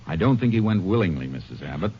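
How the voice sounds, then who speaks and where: A muffled vintage radio recording carries a no-nonsense Texas Ranger lawman. His voice is low and his delivery clipped and matter-of-fact, in 1950s radio drama style. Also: expressive, quick pace, slight background noise. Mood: measured.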